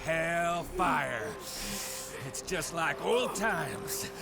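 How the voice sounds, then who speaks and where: An actor voicing a smug, menacing Old West outlaw villain in a video game, with an American accent and a sneering, gravelly drawl. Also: male voice, on the high side, lively intonation, full character voice. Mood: taunting. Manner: sneering, drawn-out taunting delivery. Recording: loud music under the voice.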